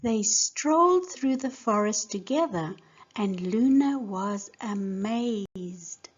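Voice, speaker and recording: A woman teacher giving a scripted lesson and narrating a storybook in a deliberate, simple way for young learners. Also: medium pitch, lively intonation, slightly rough, muffled recording. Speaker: English teacher reading a children's story.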